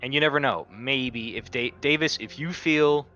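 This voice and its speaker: A man's voice: mid-range voice, normal speed, sharp tone, muffled recording, faint music behind. A man playing a tabletop roleplaying game, speaking bluntly and casually with plenty of swearing. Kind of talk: casual improvised in-character game chat.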